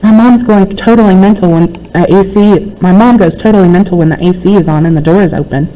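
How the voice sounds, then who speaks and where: A woman voicing a brisk, casual young girl in a storybook, with a breezy, slangy way of talking. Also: mid-range voice, mellow, lightly acted, rough recording. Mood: hurried.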